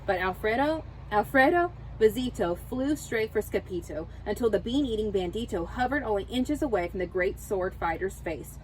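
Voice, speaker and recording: A woman reading a children's story aloud in an expressive, dramatic way, with Spanish words sprinkled in. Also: medium pitch, moderate pace, few pauses, a little hiss or hum, low-quality recording. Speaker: storybook reader. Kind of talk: animated children's read-aloud.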